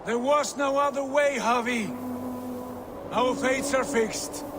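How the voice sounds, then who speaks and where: An actor playing a resigned Viking warrior speaking solemnly in a vision. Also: male voice, very high voice, steady tone, takes it slowly, background noise.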